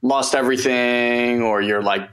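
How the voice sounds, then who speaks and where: A man co-hosting a podcast, asking a guest questions in a measured way while searching for words. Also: mid-range voice, fairly even intonation, a bit bright. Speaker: podcast co-host. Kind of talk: casual interview questions.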